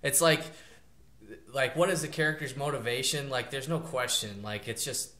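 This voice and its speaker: A man's voice: mid-range voice, moderate pace, very noisy. Rambling man in a roomy space. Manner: loose and rambling, lots of fillers, animated retelling. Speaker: podcast co-host. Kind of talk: casual chat. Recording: roomy echo.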